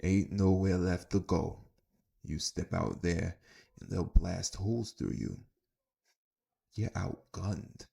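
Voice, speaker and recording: A man playing a menacing crime boss in an audio roleplay, making slow, deliberate threats. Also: deep voice, expressive, vocal fry, mellow, slightly rough, exaggerated voice. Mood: smug threat.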